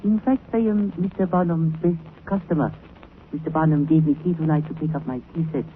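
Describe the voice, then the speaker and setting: High-voiced scheming villain played by a male actor, stilted broken English, oily courtesy, thin scratchy vintage radio sound. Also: fairly even intonation, brisk, phone-quality sound, lightly acted. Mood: ingratiating. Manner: stilted, clipped broken English, over-polite. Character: scheming, falsely polite foreign antiques-dealing villain. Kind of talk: 1940s radio drama acting. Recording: scratchy, band-limited 1940s radio recording.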